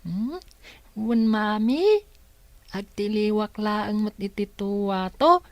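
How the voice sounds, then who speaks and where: A woman with an Ilocano accent playing a bright, curious, polite little village boy in a radio drama, chatty and wide-eyed. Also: mid-range voice, takes it slowly, dry recording. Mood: eager.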